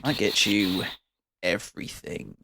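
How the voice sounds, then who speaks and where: A man's voice: on the low side, slow pace, bright, forceful tone, slightly rough, dry recording. A man co-hosting a podcast and giving a tongue-in-cheek promo read with dry humor. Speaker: podcast co-host.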